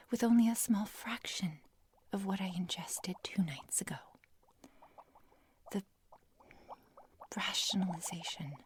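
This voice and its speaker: Woman doing obsessed lady scientist hooked on her serum, asmr roleplay, breathy whisper, real slow and dramatic, bit of background hiss. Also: mid-range voice, darkish tone. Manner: slow, dramatic, deliberate, formal wording. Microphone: close ASMR microphone.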